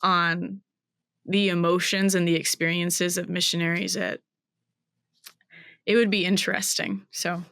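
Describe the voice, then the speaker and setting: A woman in her twenties, a guest in an interview, talking in a thoughtful, searching way with many pauses and restarts. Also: on the low side, little pitch movement, a bit bright, no room sound, studio quality. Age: 20s. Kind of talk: reflective interview conversation.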